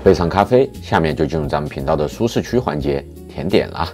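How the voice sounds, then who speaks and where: A man's voice: rumbling low, expressive, fast talker, a little mellow, faint music behind. A man reading a playful scripted voice-over as the narrator of a food and travel vlog.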